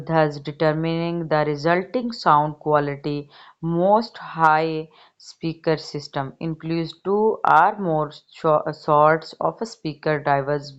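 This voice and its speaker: A woman reading an encyclopedia article aloud, haltingly, stumbling over words and repeating them. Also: sits low. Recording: dull, lo-fi recording.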